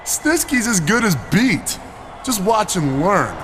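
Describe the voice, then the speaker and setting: Cocky high-pitched muscleman wrestler. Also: sing-song, slow, fairly bright tone, a little hiss or hum, full character voice. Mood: boastful. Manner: boastful, smug, showy. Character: cocky vain male muscleman wrestler, self-styled masterpiece. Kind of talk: video game cut scene acting.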